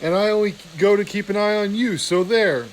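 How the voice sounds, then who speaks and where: Coarse, petty weasel guards squabble in raised, high-pitched voices, as a male storyteller puts them on. Their bickering is loud and quick-tempered, a rough storybook comedy pair. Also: slight background noise, lightly acted.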